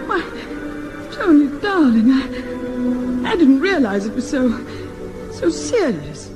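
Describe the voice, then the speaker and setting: Melodramatic Englishwoman, high and swooping. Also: sing-song, slow pace, over music, exaggerated voice. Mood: tearful. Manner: earnest, melodramatic. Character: doting, tearful woman who turns bossy when deceived. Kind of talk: 1950s British radio sitcom acting. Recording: reverberant old radio recording, faint noise.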